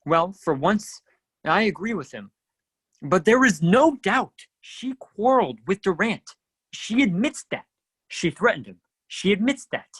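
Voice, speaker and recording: A man playing a forceful, accusing district attorney in a radio play, theatrical and emphatic. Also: high voice, very animated, measured pace, breathy edge, no echo, exaggerated voice. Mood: emphatic, damning. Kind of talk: radio play courtroom acting.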